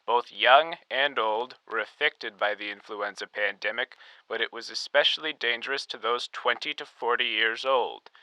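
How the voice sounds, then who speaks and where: A man's voice: mid-range voice, normal speed, hardly any pauses, bright, forceful tone, no echo, low-quality recording. A man playing a formal, theatrical 1930s radio announcer who narrates in a grave, scripted style. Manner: crisp, formal, dramatic delivery.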